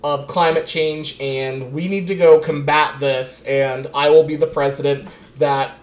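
A man's voice: fairly high pitch, fairly even intonation, a little slow, reverberant. A man in his late twenties chatting casually about politics, rambling with many restarts and fillers. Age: 20s.

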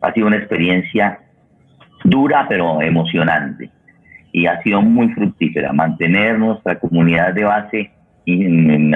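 A very low-voiced Colombian man hosts a radio programme with a rough, husky tone. He makes announcements off the cuff, halting and repeating himself as he looks for words. The sound is narrow, as if over a phone line. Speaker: radio theatre programme host. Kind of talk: unscripted sign-off and announcements. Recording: muffled, phone-like radio connection.

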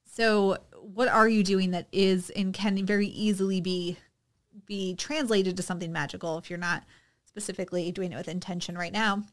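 Woman, casual podcast chat, reflective, hesitant fillers, relaxed delivery. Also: mid-range voice, moderate pace. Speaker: podcast co-host.

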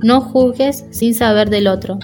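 A woman's voice: fairly high pitch, unhurried, a little mellow. Argentine woman reading over guitar music. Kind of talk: scripted read-aloud advice. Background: plucked guitar music.

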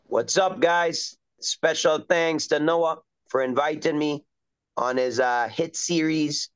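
A middle-aged man from Trinidad and Tobago, a soccer coach talking as a guest in a relaxed, rambling way with lots of fillers. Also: a little high, slow pace, clear, resonant tone. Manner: easygoing, rambling with many fillers. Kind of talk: casual interview chat. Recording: dull lo-fi recording.

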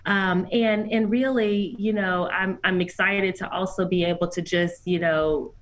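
A woman executive, a guest in an interview, speaking earnestly and encouragingly, often pausing with fillers. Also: mid-range voice, steady tone. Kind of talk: conversational interview.

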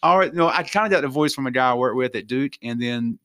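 A man's voice: medium pitch, quick pace, pushed and bright, dry recording, studio quality. A man as a guest in a casual chat, easygoing, with many fillers.

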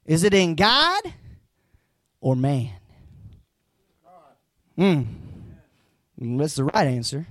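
A hoarse pastor, preaching a live sermon with folksy asides and emphatic, rising lines. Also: male voice, fairly high pitch, dry recording, clean studio sound.

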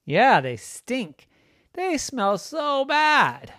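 A storyteller voicing a calm, matter-of-fact young boy who talks in a shrugging, playful way. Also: medium pitch, moderate pace, gravelly, no echo, clean studio sound. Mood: offhand.

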